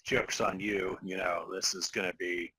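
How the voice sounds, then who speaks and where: A man's voice: low voice, fairly even intonation, normal speed, sharp tone, husky, no room sound. A man co-hosting a podcast in casual, bantering conversation. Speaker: podcast co-host.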